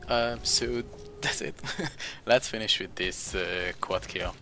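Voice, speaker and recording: A man's voice: deep voice, takes it slowly, bright, forceful tone, soft background music. A young man with a Hungarian accent, English not his first language, giving rambling, chuckling, self-deprecating commentary over gameplay. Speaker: game streamer.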